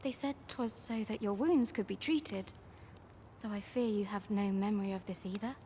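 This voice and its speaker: A woman playing a gentle, caring young village woman in a fantasy game, with formal, old-fashioned phrasing. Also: medium pitch, normal speed, a little hiss or hum, lightly acted. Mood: puzzled, concerned. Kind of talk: archaic fantasy game cutscene acting.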